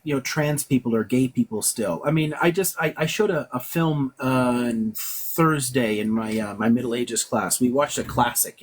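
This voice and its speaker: A man, a professor, talking bluntly and with a lot of swearing on a casual live stream, quick and full of restarts. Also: mid-range voice, slightly rough. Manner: blunt and profane, fast with many restarts. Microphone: live-stream setup in his office.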